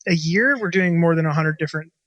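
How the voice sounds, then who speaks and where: Bright, quick-talking man, chatty and rambling. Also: a little high, no room sound, lo-fi sound. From Washington State, USA. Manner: rambling, many fillers and restarts. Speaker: chef and restaurant owner. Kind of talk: casual interview talk.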